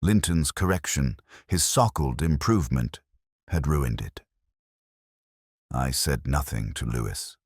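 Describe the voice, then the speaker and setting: A man with an extremely low voice narrates a scripted detective tale. His delivery is measured and brooding, with a literary turn, and his pitch moves expressively. The recording is close and dry. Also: lively intonation, slightly rough, no room sound. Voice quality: very deep. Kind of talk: scripted first-person detective story narration.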